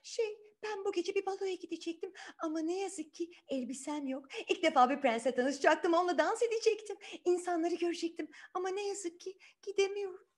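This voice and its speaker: A woman voicing a gentle, self-effacing young fairy-tale heroine with a plaintive, kind manner. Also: very high voice, a little fast, voice pushed up. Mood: resigned, sad.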